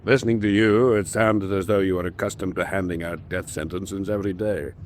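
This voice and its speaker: An actor playing a cool, probing submarine officer in a wartime radio drama, with a deliberate, pointed delivery. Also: male voice, deep voice, steady tone, a little hiss or hum, lightly acted. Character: cool, probing senior U-boat officer, captain's frank confidant.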